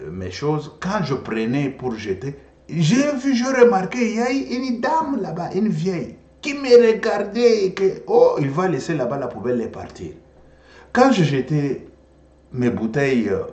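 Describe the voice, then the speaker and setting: Congolese man, Congolese French accent, Swahili native: lively political commentator, repeats himself, echoey room. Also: on the high side, unhurried. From Democratic Republic of the Congo. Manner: animated storyteller, repeats phrases, switches languages. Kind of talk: impassioned political monologue.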